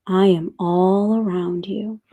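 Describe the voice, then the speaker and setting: Storybook deity played by a woman: calm, all-present, reassuring, soft-spoken and soothing, very unhurried. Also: medium pitch, muffled recording.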